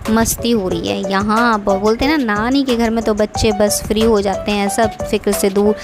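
Woman, middle-aged, vlogger, casual rambling voice-over, average pitch, loud background music. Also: fairly even intonation, moderate pace. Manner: chatty, rambling, repeats herself often.

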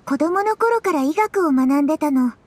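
A woman playing a kind young healer adventurer in a game, gentle and sincere. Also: high-pitched, animated, normal speed. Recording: music under the voice.

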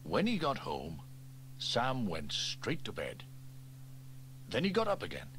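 Male narrator for a kids' story cassette, very lively pitch, mid-range voice. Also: slow pace, a little hiss or hum, low-quality recording. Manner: expressive, animated read-aloud.

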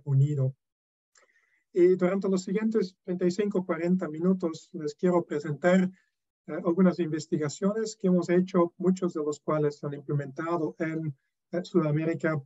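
A man's voice: on the high side, warm tone. Hesitant non-native man lecturing on a call. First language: not Spanish. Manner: hesitant, frequent fillers and restarts. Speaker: guest lecturer. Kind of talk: academic webinar talk. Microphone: video call. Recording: dull lo-fi video call audio.

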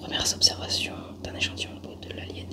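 A young woman whispers slowly and haltingly in an ASMR roleplay, as a lab scientist murmuring to herself while working. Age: young adult.

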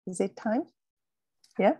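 Woman, center director, formal welcome over video call, halting delivery, mellow low voice, dry sound. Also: expressive, slightly rough, dry recording. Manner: hesitant, many pauses and fillers. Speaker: center director opening an event.

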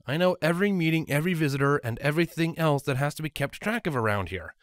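A woman's voice: sits low, expressive, quick pace, no echo, clean studio sound, pitched above the performer's own voice. A woman voicing a dutiful young male dragon assistant in a storybook reading, matter-of-fact, insistent and exasperated.

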